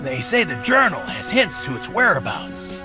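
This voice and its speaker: A man's voice: a little high, huge pitch swings, normal speed, music in the background. A man playing a folksy, teasing old gas station attendant in a visual novel, telling tales. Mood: folksy, matter-of-fact. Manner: drawling storyteller, folksy and teasing.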